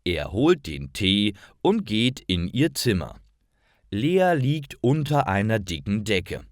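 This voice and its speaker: Slow, very animated male story narrator. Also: on the low side, dry recording, studio quality. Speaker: narrator of language-learning stories. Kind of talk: scripted story narration for learners.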